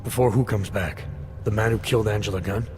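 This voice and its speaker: A low-pitched, gruff investigator from a video game, played by a man, stoic and guarded. He speaks in terse, measured phrases without getting rattled, with prominent music underneath. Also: close to an everyday voice. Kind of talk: video game cutscene acting. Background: loud music.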